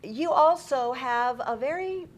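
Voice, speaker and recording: A middle-aged woman hosts a live interview at a desk in front of an audience. She is effusive and encouraging with her guest. Her voice sits at an ordinary pitch, with some noise behind it. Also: lively intonation, slow. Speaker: interviewer, host. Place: TV-style desk set before a live audience. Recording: clear background noise, live event.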